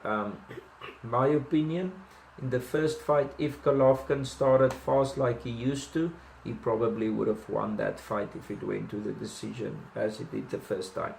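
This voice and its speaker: A man's voice: mid-range voice, fairly even intonation, a little slow, vocal fry, darkish tone, roomy sound, a little hiss or hum, rough recording. A man with a South African accent giving casual boxing commentary, chatty, with many ums and restarts and self-deprecating asides.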